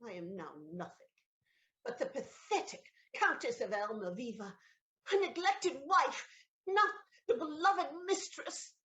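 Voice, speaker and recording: Woman as a high-voiced, wounded countess. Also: expressive, unhurried, bright, forceful tone, exaggerated voice. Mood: wounded, reproachful. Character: wounded, proud countess, a neglected wife. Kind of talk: comic period stage acting.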